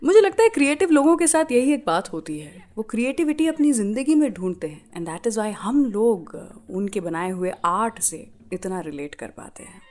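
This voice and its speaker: A woman's voice: medium pitch, sing-song, normal speed, few pauses, a little mellow. A woman with an Indian accent presenting a radio show, telling stories in a chatty, conversational way and switching between two languages. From Indore, India.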